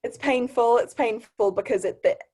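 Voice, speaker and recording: A young woman being interviewed, casual and hesitant, laughing often and pausing on "um". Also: a little high, mellow, slightly rough. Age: young adult. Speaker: interview guest. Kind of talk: casual interview over a video call.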